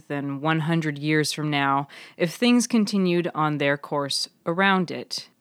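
A woman's voice: low voice, a bit bright, smooth, no room sound, clean studio sound. A woman narrating a scripted serial fiction story for a podcast, with piano music behind her.

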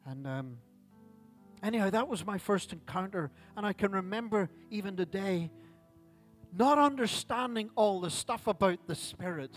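A man's voice: high-pitched, expressive, moderate pace, soft background music. A man, preaching a sermon at a church service in a conversational way with self-deprecating asides. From Ireland. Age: 60s. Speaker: pastor.

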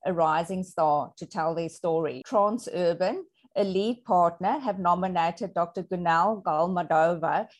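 A woman's voice: lowish voice, a little slow, hardly any pauses. A South African woman whose native language is Afrikaans, hosting a casual interview and telling chatty personal anecdotes. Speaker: host.

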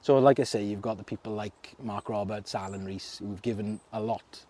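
Welsh guy from Merthyr, casual interview guest, mellow tone, chatty with lots of laughs and ums. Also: lowish voice, steady tone, moderate pace, slight background noise. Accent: South Wales (Merthyr). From Merthyr Tydfil, Wales. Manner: chatty, laughs often, many fillers and restarts. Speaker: fishing club secretary, guest.

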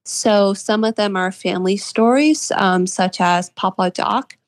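A woman's voice: medium pitch, measured pace, no echo. A woman of about twenty from West Virginia with an American accent, speaking earnestly and agreeably as a guest author in a conversational interview. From West Virginia, United States.

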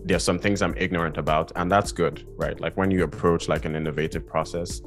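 A man from Nigeria with a very deep voice and a fairly even delivery. He talks casually as an interview guest, pausing with fillers and restarting his thoughts. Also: faint music behind. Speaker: guest designer.

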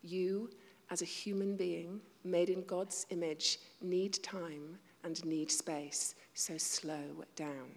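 A woman with a fairly low, gravelly voice preaches to her congregation. She talks in a conversational way, pokes fun at herself, and sometimes drops into a near-whisper. The sound rings out with a long reverb, as in a big church hall. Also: steady tone, takes it slowly, hardly any pauses. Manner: conversational, self-deprecating, with hushed asides. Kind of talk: sermon.

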